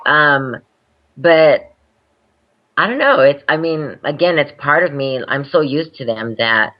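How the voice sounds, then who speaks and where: A woman's voice: low-pitched, lively intonation, unhurried. Giggly candid woman, lo-fi podcast audio. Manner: candid, laughs easily. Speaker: interview guest. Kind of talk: casual interview chat.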